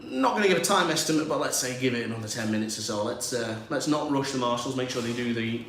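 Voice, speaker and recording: A man's voice: mid-range voice, fast, fairly bright tone, slight background noise. A young man from Lancashire with a Lancashire accent, co-hosting racing commentary in a chatty, jokey way. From Lancashire, England. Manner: chatty, jokey, laughs easily. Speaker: host and co-commentator. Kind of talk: relaxed racing commentary banter. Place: commentary room above a racetrack. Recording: very echoey room.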